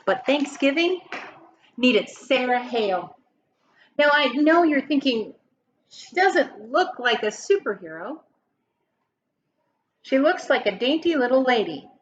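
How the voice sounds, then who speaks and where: High-pitched woman reading aloud, muffled recording. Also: big pitch swings, slow, pauses often. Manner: emphatic, dramatic phrasing with rhetorical questions to listeners. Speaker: teacher reading a picture book aloud. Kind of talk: expressive children's story read-aloud.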